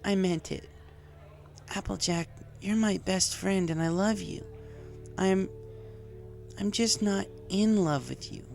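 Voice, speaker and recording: A man voicing a brash, emotionally torn young female cartoon pony with a strained high voice in a storybook reading. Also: slow, gravelly, long pauses, a little mellow, soft background music, heavily acted. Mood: flustered, sincere.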